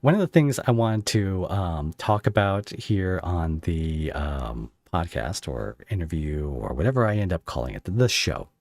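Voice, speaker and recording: Very deep-voiced man, casual podcast host. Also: sing-song, darkish tone, no echo. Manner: halting, rambling questions. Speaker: podcast host interviewing a creator. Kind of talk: casual interview chat.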